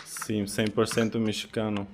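A man's voice: fairly low pitch, little pitch movement, normal speed. A playful man in his thirties from Russia, chatting casually with strangers on a video call through a headset and laughing often. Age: 30s. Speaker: video chat host. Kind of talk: casual multilingual chat. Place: small room indoors. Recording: video call with loud music behind.

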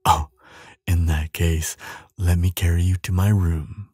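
A man playing a gentle, affectionate giant in an intimate ASMR audio roleplay, soothing and earnest, murmuring tenderly with soft hums and sighs. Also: deep voice, steady tone, a little slow, slightly rough, no echo, studio quality. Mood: reassuring.

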